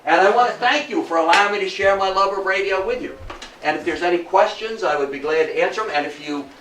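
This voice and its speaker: A man's voice: high-pitched, quick pace, fairly bright tone. An older man giving an informal public talk in a folksy, emphatic way, often repeating himself. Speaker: guest speaker, old-time radio hobbyist. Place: room at a local society talk. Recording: distant echoey room, faint noise.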